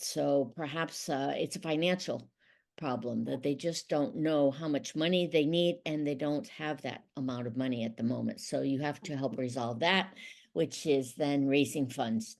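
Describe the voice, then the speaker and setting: A woman's voice: deep voice, creaky, a little mellow. A middle-aged woman from Ohio, explaining things methodically as an interview guest. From Ohio, United States. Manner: explanatory, methodical, occasional restarts. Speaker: interview guest, business consultant. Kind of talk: conversational interview.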